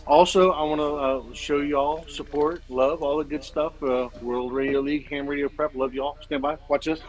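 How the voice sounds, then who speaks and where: A man's voice: a little high, fairly even intonation, normal speed, poor audio. A man in casual livestream chat as a guest, speaking in an informal, chummy, enthusiastic way.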